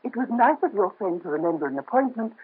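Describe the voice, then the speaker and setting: An actress playing a young noblewoman in hiding, soft, earnest and dignified, in an old radio drama. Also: mid-range voice, very animated, fast talker, husky. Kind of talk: 1930s radio drama acting. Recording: muffled lo-fi old radio transfer.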